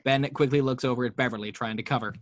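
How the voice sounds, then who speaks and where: A man's voice: mid-range voice, fairly even intonation, fast talker. A man narrating the action lines of a screenplay table read, with wry, playful asides.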